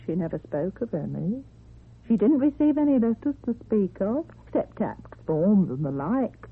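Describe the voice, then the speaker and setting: A woman's voice: mid-range voice, normal speed, breathy edge. An actress playing a fussing, talkative, matter-of-fact landlady in a radio drama. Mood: chatty. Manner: talkative, animated. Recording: tinny narrow-band old radio recording.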